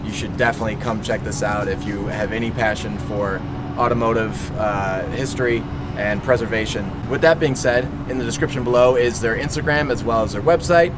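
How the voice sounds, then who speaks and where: A man's voice: lowish voice, fairly quick, heavy background noise. A young man hosting a car detailing vlog, talking to camera outdoors with upbeat energy. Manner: upbeat, excited. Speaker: detailing channel host. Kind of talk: casual vlog talk to camera. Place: outdoors by a loading dock. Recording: music playing underneath.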